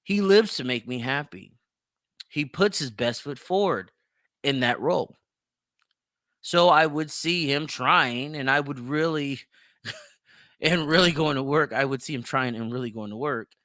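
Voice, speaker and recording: Man, podcast host, bright punchy voice, dry close sound, blunt, emphatic, sweary, opinionated solo commentary. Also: mid-range voice, a little slow, long pauses, no echo. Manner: emphatic, repeats phrases for stress.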